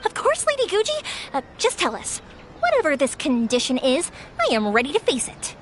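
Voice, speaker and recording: A woman's voice: high voice, huge pitch swings, brisk, very noisy. A woman voicing a cheery, determined young woman in a video game, eager, earnest and encouraging.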